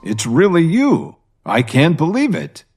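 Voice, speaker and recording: A man's voice: fairly high pitch, a little slow, slightly rough, faint music behind, pitched above the performer's own voice, exaggerated voice. A man voicing a composed, assured, influential businessman in an audio drama. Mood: amazed, warm. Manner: calm, assured. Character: influential, composed businessman, deferential to old benefactor.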